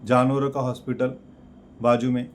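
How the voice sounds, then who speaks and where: A man praying and then telling his personal testimony, with a halting delivery that keeps repeating words of address to God. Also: mid-range voice, level tone, a little hiss or hum. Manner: slow, halting delivery with many repeated "Lord" and "Father" fillers. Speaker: preacher giving a testimony.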